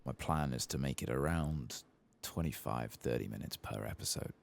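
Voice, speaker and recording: Very deep, gravelly soft-spoken man. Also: normal speed. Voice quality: breathy, gravelly, often slipping into whisper. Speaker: video game streamer. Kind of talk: soft-spoken ASMR game commentary.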